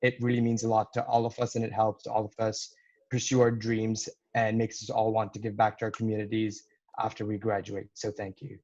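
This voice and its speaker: A man's voice: on the low side, completely flat delivery, a little fast, a little mellow. A young man from Dubai, a university student giving an earnest thank-you speech to donors over a video call. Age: young adult. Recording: dull lo-fi video call audio.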